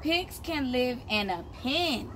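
A woman in her thirties reading a picture book aloud to young children as their teacher, in simple, deliberate phrases, recorded outdoors by a camera a short distance away. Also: high voice, lively intonation, bright, forceful tone. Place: outdoors, on a patio beside plants. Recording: clear outdoor background noise.

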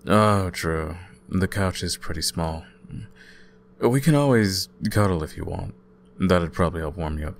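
Guy playing a chill young roommate, deep voice, playful n sweet, asmr roleplay, bit of room noise behind. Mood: caring, casual. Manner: casual, playful, gently teasing. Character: easygoing, teasing, caring male student roommate. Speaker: audio roleplay performer. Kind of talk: intimate ASMR boyfriend-style roleplay, conversational acting.

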